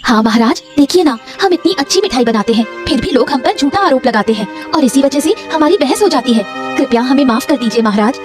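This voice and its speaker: A woman's voice: high-pitched, lively intonation, brisk, few pauses, music in the background. A woman voicing a dramatic, emphatic female character in a moral-story cartoon. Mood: pleading. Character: animated storybook women: princess, wife, sweet seller.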